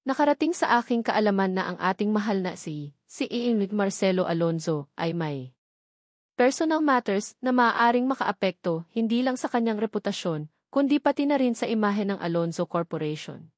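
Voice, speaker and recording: A sly, sophisticated Filipina villainess, voiced by a woman narrator speaks softly and sweetly in a storybook romance drama, with intrigue under the politeness. Her voice sits at a middle pitch and sounds dry. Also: expressive, normal speed, no echo, natural voice. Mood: smooth intrigue. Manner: soft-spoken with a hint of intrigue.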